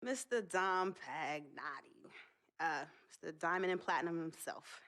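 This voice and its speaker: A woman's voice: on the low side, unhurried, fairly bright tone. An American woman acting a protective, sarcastic sister of a star athlete in a video game cutscene. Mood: guarded, wry. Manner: sarcastic, guarded.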